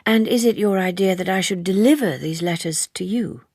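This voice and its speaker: Audiobook ingénue in her twenties read by an actress: earnest, businesslike, polite, husky, dry close sound. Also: medium pitch, moderate pace, a little mellow, no room sound. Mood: direct, wary. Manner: businesslike, careful, formally polite. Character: earnest, naive young woman of twenty-three, quietly direct. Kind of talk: audiobook character dialogue.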